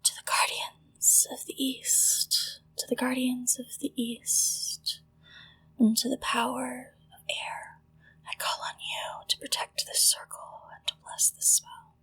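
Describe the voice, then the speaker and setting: A woman whispering gently and deliberately into a close microphone, leading a ritual in ASMR style with hushed pauses. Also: high voice, flat delivery, slightly rough, low-quality recording. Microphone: close-up sensitive microphone.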